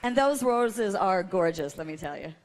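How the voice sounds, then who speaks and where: A woman's voice: medium pitch, lively intonation, normal speed. A woman co-hosting a live awards ceremony, moving between scripted announcements and off-the-cuff remarks. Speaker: awards gala co-host.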